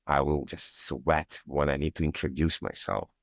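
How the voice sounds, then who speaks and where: Russian-accented male guest, very deep voice, dry close sound, earnest, non-native phrasing. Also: moderate pace, creaky, no echo. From Kyiv, Ukraine. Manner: earnest, slightly broken English grammar. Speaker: guest, cybersecurity architect. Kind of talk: interview answers.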